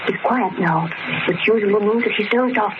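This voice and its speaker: A woman's voice: medium pitch, normal speed, very noisy, natural voice. Woman acting an anxious wife, muffled old radio. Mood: grave, uneasy. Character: grave, anxious wife of a maddened man. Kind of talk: 1930s-40s radio horror acting.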